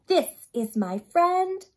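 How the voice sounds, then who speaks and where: A woman in her thirties reading a picture book aloud to young children in an expressive, dramatic way, stressing key words and addressing her listeners directly. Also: extremely high pitch. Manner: dramatic gasps and emphatic, drawn-out key words. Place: small furnished room at home, indoors.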